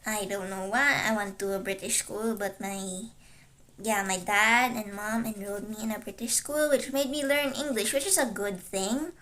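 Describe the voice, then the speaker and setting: A woman's voice: medium pitch, steady tone, normal speed, fairly bright tone. A teenage girl whose first language is Chinese, chatting casually on a livestream while she studies, rambling and hesitant, with many fillers and self-corrections. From Philippines. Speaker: vtuber streamer.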